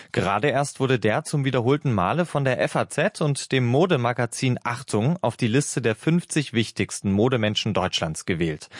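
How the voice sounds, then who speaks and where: A man presenting a radio news show, introducing reports in a clear, formal announcing manner at a studio microphone. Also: medium pitch, dry recording.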